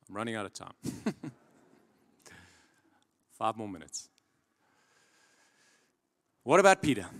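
A young man preaching a sermon on a church stage into a handheld mic, dramatic, swinging between loud emphatic peaks and low quiet drops. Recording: long church hall reverb.

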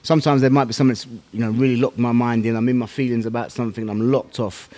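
Southern English male interviewee, middle-aged. Very quick delivery, street slang and swearing, animated anecdote style. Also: medium pitch, dry recording. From England. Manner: animated, slangy, sweary, acting out remembered exchanges. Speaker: interview guest, former kickboxing champion. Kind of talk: casual storytelling interview.

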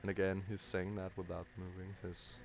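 A man from Denmark, a native Danish speaker, giving tired, halting live commentary on his game play with many ums and restarts. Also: sits low, monotone, gravelly. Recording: loud background noise over the voice.

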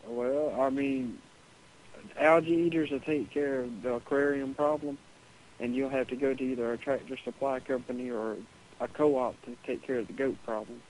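A man with a medium-pitched voice handles customer service for a pet store. He is matter-of-fact and slightly hesitant, heard through a phone line with faint hiss. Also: steady tone, normal speed, a little mellow. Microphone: telephone. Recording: hissy, noisy telephone line.

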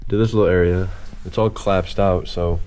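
A young man casually narrating as he explores an abandoned mine, joking and excited. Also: low-pitched, a little fast, clean tone. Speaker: vlogger.

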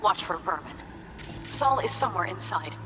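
A tough young nomad woman voiced by an actress in a video game, driven and emphatic as she gives orders. Her voice sits at a medium pitch, with music and vehicle noise behind it. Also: expressive, moderate pace, close to an everyday voice. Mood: tense, commanding.

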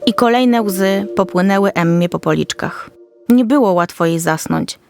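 A woman's voice: mid-range voice, moderate pace, darkish tone, soft background music. A woman narrator reading a scripted children's story.

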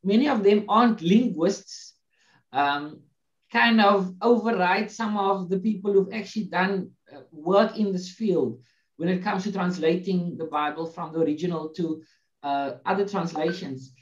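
A man's voice: high-pitched, measured pace. Afrikaans-speaking man on a roomy call. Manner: hesitant, many restarts, gestures while talking. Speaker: guest. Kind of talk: casual video-call discussion. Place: small room, indoors. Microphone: webcam on video call. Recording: roomy, dull video-call audio.

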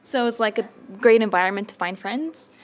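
Young reporter lady, russian first language, higher voice, upbeat questions into a handheld mic. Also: a little fast, a little hiss or hum. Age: young adult. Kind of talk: news report and interviewing. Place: classroom indoors.

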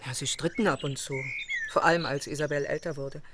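A woman's voice: deep voice, steady tone, brisk, lightly acted. An actress playing a hesitant mother being questioned in a crime radio drama. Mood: hesitant, defensive. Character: hesitant mother answering questions about her daughter. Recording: clear outdoor noise, birdsong.

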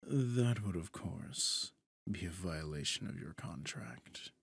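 Man voicing a low, husky, smug demon lord. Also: expressive, a bit bright, full character voice. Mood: commanding, condescending. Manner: pompous, slow, deliberate, breathy half-whispers. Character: possessive, smug male demon lord, suave deceiver. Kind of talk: scripted ASMR roleplay monologue.

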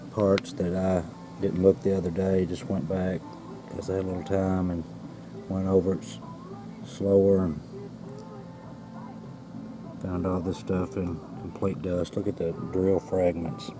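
A man's voice: sits low, level tone, measured pace, pauses often, poor audio. A plainspoken, folksy man musing in short phrases as he casually shows his finds to a handheld camera. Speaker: artifact hunter filming his finds. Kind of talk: casual first-person show-and-tell commentary. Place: outdoors, handheld camera close to the ground. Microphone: handheld camera mic. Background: radio music playing in the background.

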